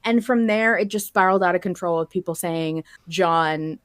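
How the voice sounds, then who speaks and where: A woman's voice: on the low side, normal speed. A woman hosting a casual fan podcast, talking in playful bro slang with some swearing. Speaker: podcast host.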